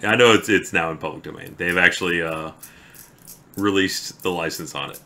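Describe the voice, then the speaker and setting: Deep-voiced man chatting on a stream. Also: gravelly, faint music behind. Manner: halting, trails off into quiet mumbles. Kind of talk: casual chat with stream viewers; slow, deliberate poetry reading.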